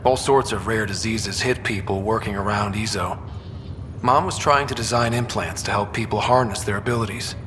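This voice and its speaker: Male actor as young sci-fi game soldier, wistful, dry, conversational, long reverb, loud background hum. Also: lowish voice, moderate pace, lightly acted. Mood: sober, admiring. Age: young adult. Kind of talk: naturalistic video game dialogue acting. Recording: reverberant room, loud ambient hum behind.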